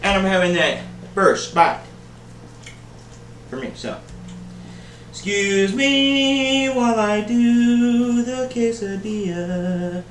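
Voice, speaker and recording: A man's voice: sits high, animated, slow, long pauses, a little hiss or hum. A man in his thirties hosting a casual home cooking show, joking with viewers and calling them baby and buddy, in a home kitchen. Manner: playful, jokey, calls viewers baby and buddy. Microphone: camera mic from a few feet away. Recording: roomy kitchen with music behind.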